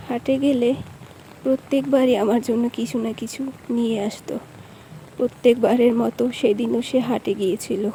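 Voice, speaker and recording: A woman's voice: fairly high pitch, warm tone, a little hiss or hum, low-quality recording. A woman playing a village grandmother who earnestly tells her grandchildren a spooky memory in a cartoon story. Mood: ominous, reminiscing.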